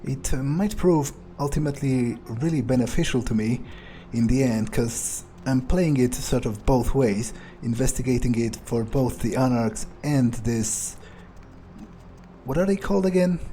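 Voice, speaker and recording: A man role-playing a ruthless, casually murderous vampire thinking aloud in improvised game commentary, musing, hesitant and foul-mouthed, with dark amusement. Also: medium pitch, measured pace, close to an everyday voice. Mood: musing, darkly amused. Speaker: gaming streamer role-playing his character. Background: game music.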